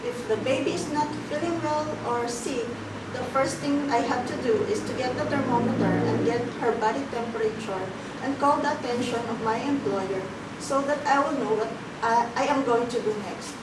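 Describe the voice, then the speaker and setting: Woman in her twenties, somewhat high voice, formal polite applicant, echoey room, mic at a distance. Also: moderate pace, heavy background noise. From Philippines. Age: 20s. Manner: formal, rehearsed, polite with "ma'am". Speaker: job applicant. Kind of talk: mock job interview.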